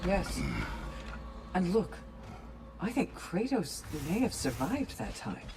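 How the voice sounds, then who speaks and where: A man's voice: high voice, very animated, slow pace, a little mellow, soft background music, heavily acted. A man voicing a composed, resolute god in a video game, formal and sincere in delivery. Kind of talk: dramatic video game acting.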